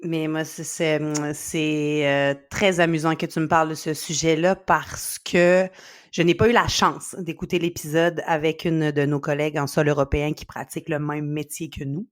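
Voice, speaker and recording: A woman's voice: sits low, level tone, normal speed. A middle-aged woman from Quebec with a Québécois accent, a sleep consultant speaking earnestly in an interview, with many fillers. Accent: Québécois French. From Quebec, Canada. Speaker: sleep consultant and company founder. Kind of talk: podcast interview.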